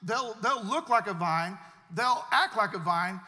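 Male pastor, high bright tone, earnest sermon delivery, church hall reverb. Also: animated, measured pace. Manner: earnest preaching with repeated words and restarts.

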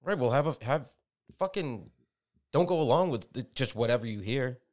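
A man's voice: medium pitch, expressive, normal speed, a little mellow. A man co-hosting a podcast, agreeing often with short replies and swearing now and then in a casual opinion chat. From United States. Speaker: podcast co-host.

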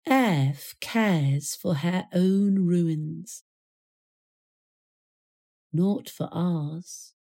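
A woman reciting a poem in a measured, solemn manner with deliberate pauses. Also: fairly low pitch, mellow, husky. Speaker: poetry reader. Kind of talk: poetry recital.

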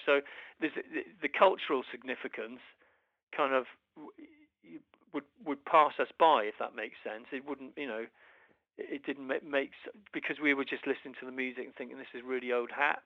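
A man from Wales, a musician guest in a casual interview, talking haltingly with many restarts and fillers. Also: mid-range voice, no room sound, lo-fi sound.

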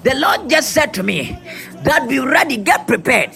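A hoarse preacher with a very high, bright, piercing voice, crackling with rough fry as he strains. He declares in fervent, repeated bursts, and soft music plays behind him. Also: big pitch swings, moderate pace. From Ghana. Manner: fervent, repetitive, shouted declarations. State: hoarse, losing his voice. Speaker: prophet preacher. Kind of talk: prophetic sermon with prayer.

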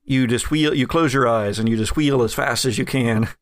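A man narrating a tabletop game as game master, relaxed and chuckling, with many fillers. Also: mid-range voice, moderate pace, dry recording, clean studio sound.

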